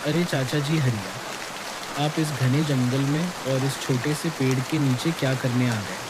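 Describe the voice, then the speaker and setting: A man voicing a kind, humble young villager in a cartoon story, speaking in a respectful, warm-hearted way. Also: mid-range voice, measured pace, very noisy, natural voice. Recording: loud rain sound effects behind. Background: rain.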